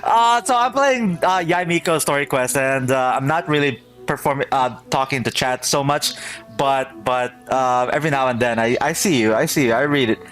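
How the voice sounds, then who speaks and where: A man's voice: mid-range voice, normal speed. A man live-streaming a game playthrough with casual, exclamatory commentary, often repeating his words. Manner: animated, exclamatory, self-repeating. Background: game music.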